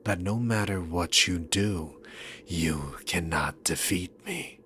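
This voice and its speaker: A man's voice: sits low, slow pace, bright, forceful tone, slight background noise, heavily acted. A man voicing a dominant, sarcastic demon king in an ASMR roleplay, taunting the listener with theatrical, arrogant condescension. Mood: menacing.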